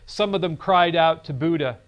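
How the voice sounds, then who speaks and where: A man's voice: a little high, normal speed, smooth, lo-fi sound. A man in his fifties giving a recorded seminary lecture in a studio classroom set, speaking earnestly and telling a story on a lapel mic. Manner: earnest, storytelling, gestures while talking.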